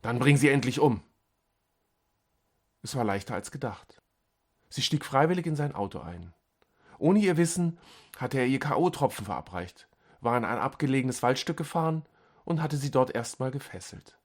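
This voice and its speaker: Expressive man narrating an audiobook. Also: mid-range voice, normal speed. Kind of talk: scripted short-story narration.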